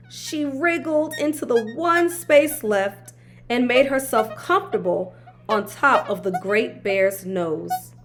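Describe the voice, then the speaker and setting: A woman reads a picture book aloud for young children. Her voice is fairly high and very lively in pitch, with an unhurried, expressive delivery. Music plays clearly behind her. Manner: slow, animated storytelling. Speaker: children's read-aloud host. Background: background music.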